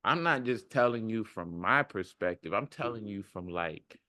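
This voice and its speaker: Low-voiced man in a casual motivational ramble. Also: animated, normal speed, sharp tone, no room sound, clean studio sound. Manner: rambling, repeats phrases for emphasis. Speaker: self-development video creator. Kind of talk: casual motivational monologue to viewers.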